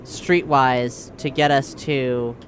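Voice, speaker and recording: A man's voice: medium pitch, steady tone, slow, a little hiss or hum. A man playing a tabletop roleplaying game, talking casually and tentatively as he thinks aloud and repeats words. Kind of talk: casual improvised game discussion. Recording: music clearly audible behind voice. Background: background music.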